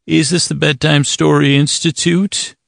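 A man's voice: on the high side, little pitch movement, a little slow, vocal fry, no room sound, studio quality, pitched above the performer's own voice. A man playing a self-important fake university researcher in a bedtime story, talking formally while stumbling through his bluff.

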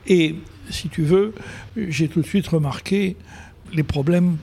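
Older male photographer guest, husky and gravelly, slightly raised pitch. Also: dark tone. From Algeria. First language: Arabic. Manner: unhurried, repeats phrases for emphasis. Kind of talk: reflective storytelling in conversation.

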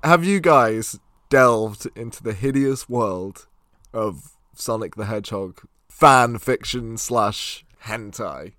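A man in his twenties chatting casually as a podcast co-host, with an excitable, enthusiastic manner. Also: on the low side, slow. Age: 20s. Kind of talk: casual chat.

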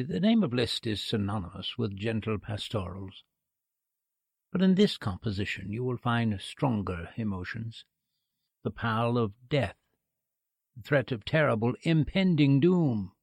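Man acting a gravelly, solemn concert maestro. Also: mid-range voice, a little mellow, no room sound, studio quality, exaggerated voice. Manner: formal, portentous. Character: grave, courtly male concert musician introducing his piece. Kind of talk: radio drama acting, formal stage address.

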